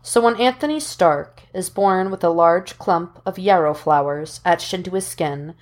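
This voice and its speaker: A woman narrating fan fiction as an audiobook reader, in measured, deliberate phrases with dramatic pauses. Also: lowish voice.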